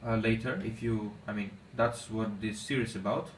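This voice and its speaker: A man whose first language is Armenian, giving casual commentary on his gameplay in halting English with many fillers. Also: on the low side, steady tone. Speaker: gaming channel host. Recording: echoey room, distant mic, faint noise.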